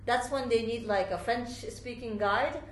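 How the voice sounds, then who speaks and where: A woman's voice: fairly high pitch, fairly even intonation, unhurried. A woman from Japan, a native Japanese speaker, talking informally as an interview guest and telling personal stories with lots of casual filler phrases. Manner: informal, frequent "like" and "you know". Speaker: podcast guest, fashion designer. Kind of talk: conversational interview, personal storytelling. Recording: roomy echo, clear background noise.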